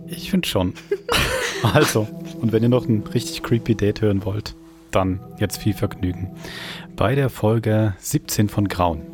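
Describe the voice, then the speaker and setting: Deep podcast host guy, measured intro talk, music underneath. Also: huge pitch swings.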